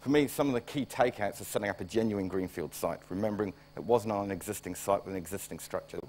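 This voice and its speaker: A man's voice: on the low side, fast, darkish tone, slight background noise. A middle-aged man with a New Zealand accent, a plant director giving a conference talk, plain-spoken and wry, coming down with a cold. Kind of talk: conference presentation.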